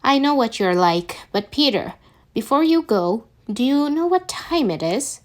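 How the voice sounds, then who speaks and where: A woman voicing a bright, brisk female intern supervisor in a fan fiction story, with a cheery, emphatic manner. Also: sits high, very animated, pitched above the performer's own voice. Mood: breezy.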